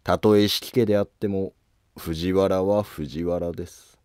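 A man playing a distrustful, resolute court noble with deliberate formal speech in a historical drama. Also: deep voice, steady tone, a little mellow, clear, resonant tone, dry recording, studio quality. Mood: resolute, secretive. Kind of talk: scripted historical drama dialogue.

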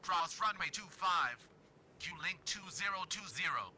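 A man's voice: high-pitched, animated, a little slow, heavily acted. A man voicing a businesslike airline pilot giving clipped readbacks over aircraft radio in a simulator game. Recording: sharp, faintly noisy radio audio.

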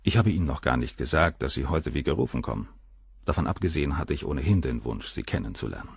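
An actor playing a cool, arrogant, middle-aged surgeon who talks down about the women around him, in a radio play. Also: male voice, very deep voice, sing-song, fast talker, creaky, husky, no echo, studio quality, heavily acted. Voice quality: cool, cynical, dismissive.

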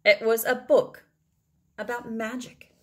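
A middle-aged woman reading a children's picture book aloud expressively, with deliberate phrasing and emphatic pauses. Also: fairly high pitch, flat delivery, darkish tone. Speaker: read-aloud storyteller.

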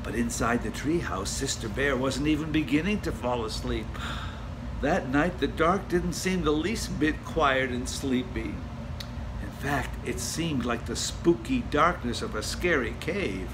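An older man reading a children's picture book aloud in an expressive storytelling style, dropping to a hush for the spooky parts. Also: medium pitch, unhurried. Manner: gentle, expressive storytelling with hushed spooky passages. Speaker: storybook reader. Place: home room, indoors. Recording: home recording with steady hiss.

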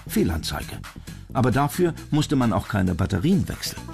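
A man's voice: mid-range voice, sing-song, normal speed, vocal fry. A man reading scripted documentary narration. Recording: voice over prominent music. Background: background music.

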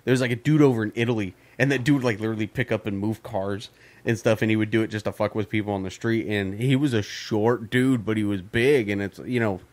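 A man's voice: on the low side, fairly even intonation, quick pace. A man co-hosting a casual podcast, rambling with many fillers and casual swearing. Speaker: podcast co-host.

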